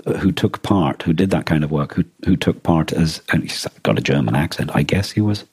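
A man co-hosting a podcast, explaining things in a casual, halting discussion as he thinks aloud. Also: very deep voice, expressive, darkish tone, breathy edge, no room sound, studio quality. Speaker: podcast co-host. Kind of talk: casual discussion of a radio drama.

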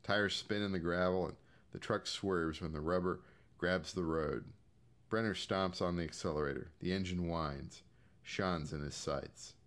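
A man's voice: deep voice, a little slow, fairly bright tone. An American man giving a scripted, audiobook-style narration of short fiction. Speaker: short story reader.

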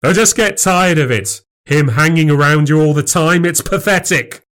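A man's voice: on the high side, moderate pace, a bit bright, voice pushed up, close to an everyday voice. A man voicing a jealous, sarcastic husband in audiobook dialogue, goading and sneering. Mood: bitter, scornful.

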